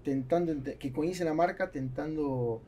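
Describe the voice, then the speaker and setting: A man's voice: on the high side, normal speed, warm tone, slight background noise. A man in his forties with an Argentine Spanish accent, a native Spanish speaker who mixes Spanish words into casual podcast talk. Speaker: guest entrepreneur. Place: small foam-padded indoor podcast studio. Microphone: studio mic on boom arm.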